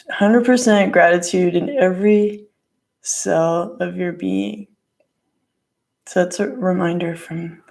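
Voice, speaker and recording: A hoarse woman with a gravelly voice doing a casual tarot and crystal card reading in her living room, rambling with lots of fillers. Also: medium pitch, mellow. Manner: rambling, lots of "like" and "you know".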